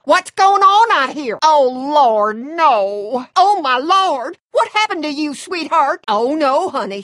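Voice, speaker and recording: A woman's voice: medium pitch, fairly bright tone, no room sound, studio quality. A woman playing a middle-aged Southern farm mother from a video game, with folksy, effusive hospitality and a controlling streak. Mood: alarmed, motherly. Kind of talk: video game character acting.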